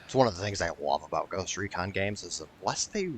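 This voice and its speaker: A man's voice: low-pitched, animated, fast talker, a little hiss or hum. A man rambling through casual gameplay commentary, swearing and sounding wryly exasperated as he streams. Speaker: video game streamer.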